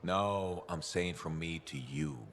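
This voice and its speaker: A low, weighty male voice an older veteran fighter in a video game. He brags and spins tales with relish, over a bed of background ambience. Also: takes it slowly, fairly bright tone, a little hiss or hum. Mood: teasing. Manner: boastful, storytelling with relish. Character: boastful gruff old veteran freelancer man. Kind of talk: video game cutscene acting.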